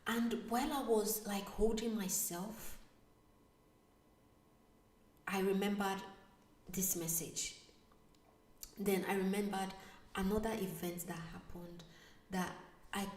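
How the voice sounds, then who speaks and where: A woman vlogger telling a personal story in a casual, animated way, sometimes dropping to a whisper. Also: fairly low pitch, far from the mic. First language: not Italian. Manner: animated, drops to a whisper for asides. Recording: echoey room, voice away from mic.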